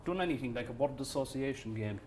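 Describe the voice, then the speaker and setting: A man's voice: mid-range voice, expressive, quick pace, darkish tone, a little hiss or hum, close to an everyday voice. A man playing a crude, patronizing small-town boss, rambling and folksy. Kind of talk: comedy sketch acting.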